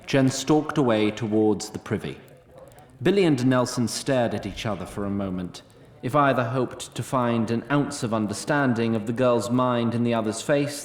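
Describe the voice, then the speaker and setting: A man narrating a scripted fantasy story in a measured, literary way. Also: mid-range voice. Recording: roomy sound, faint noise.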